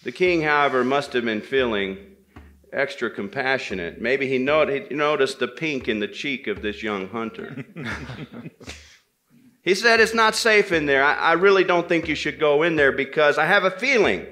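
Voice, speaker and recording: A man tells an old folktale live, in a bright, forceful voice of medium pitch. He is deliberate, repeating phrases and pausing for dramatic weight. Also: animated. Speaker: storyteller at a men's gathering. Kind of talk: live oral storytelling of a folktale, dramatic pauses and repetition.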